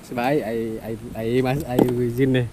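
A man with a Malaysian accent giving casual commentary from a kayak at sea, talking in muttered asides and sudden excited exclamations, recorded on a head-mounted action camera. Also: mid-range voice, unhurried. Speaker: kayak fishing vlogger. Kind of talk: casual running commentary while fishing. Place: kayak on open sea outdoors. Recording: noisy outdoor action-camera audio with wind.

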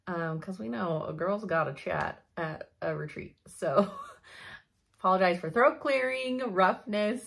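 A young woman giving a chatty cross-stitch update from her home craft room, her voice slightly hoarse, laughing often as she thinks aloud. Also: on the low side, unhurried, a bit bright. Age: young adult. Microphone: camera in front at desk.

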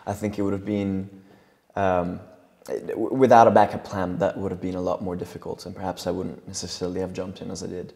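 A young man whose first language is Italian talks through his work as a coach in a relaxed, thoughtful way. His voice is low and gravelly. It is caught on a lapel mic in a studio with a long echo. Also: fairly quick. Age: young adult. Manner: thoughtful, gestures while talking, frequent restarts. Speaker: guest coach and trainer. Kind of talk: relaxed interview talk. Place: studio set with leather sofas and brick wall.

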